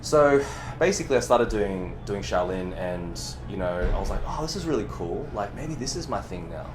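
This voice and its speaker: A man's voice: lowish voice, animated, moderate pace, noisy background. A man, a movement coach whose first language is Cantonese, talking animatedly in a casual podcast interview, with frequent restarts and the odd swear word.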